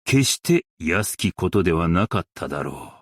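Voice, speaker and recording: A man playing a stern, dignified old-world master craftsman and warrior in a game, speaking in archaic, formal, measured Japanese diction with weighty delivery. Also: sits low, sing-song, fairly bright tone, no room sound, clean studio sound, full character voice. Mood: solemn. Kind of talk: Japanese game character voice lines.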